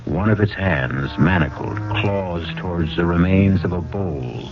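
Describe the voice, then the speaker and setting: A man's voice: deep voice, animated, measured pace. A man hosting and narrating a scripted radio mystery drama in a theatrical, suspenseful way. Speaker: host and narrator. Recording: tinny old radio broadcast, music behind. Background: music.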